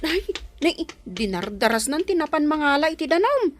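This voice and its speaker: A woman acting in an Ilocano audio drama as an excitable, alarmed household woman who fusses urgently. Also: high voice, moderate pace, no room sound.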